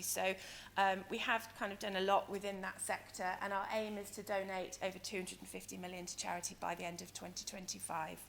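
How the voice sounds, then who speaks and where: A woman with a croaky, hoarse voice giving a conference presentation as a company director, explaining things in a hesitant, wordy way. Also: mid-range voice, fairly bright tone. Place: conference venue in London, speaking to an audience. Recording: noisy conference hall ambience.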